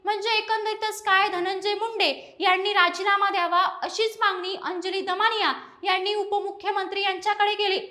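A woman's voice: very high voice, flat delivery, moderate pace, few pauses, pushed and bright. A woman presenting a scripted news explainer.

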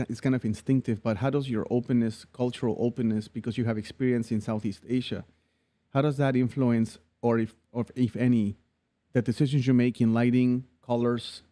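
A man in his thirties hosting a podcast interview in a studio, chatty and animated, acting out people when he tells stories. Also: medium pitch, brisk. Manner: animated storyteller, acts out voices when telling stories. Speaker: podcast host. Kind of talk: casual interview chat. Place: bright studio room. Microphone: studio mic on boom arm, headphones.

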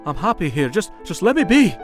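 A man playing a defensive demon thug, blunt and halting, in an audio comic dub. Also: sits high, very animated. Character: gruff, defensive male demon thug, famous ex-fighter. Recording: background music. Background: music.

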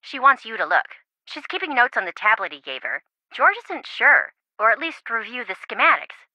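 Game role: bubbly, melodramatic young best friend, highish and very bright, chatty, slightly lo-fi recording. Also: female voice, sing-song, normal speed, no room sound, exaggerated voice. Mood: playful, excited. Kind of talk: video game phone-call dialogue.

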